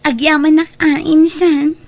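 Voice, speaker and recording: A woman's voice: sits high, flat delivery, measured pace, music in the background. A woman with an Ilocano accent playing a proud, jobless young mother who is a scolded daughter. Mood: willing. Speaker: drama actress. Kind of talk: Ilocano comedy drama acting.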